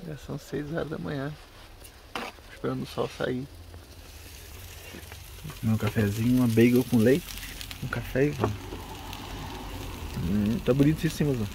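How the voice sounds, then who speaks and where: A man's voice: medium pitch, normal speed, vocal fry, pauses often, background noise. A man with a Brazilian Portuguese accent, chatting casually as he films a travel vlog outdoors, mixing in English phrases. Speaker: travel vlogger. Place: outdoors by a mountain lake and forest trail.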